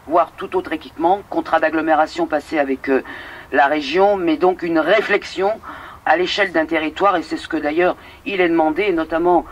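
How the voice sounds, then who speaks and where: A man giving unscripted official remarks in formal, administrative phrasing. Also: fairly high pitch.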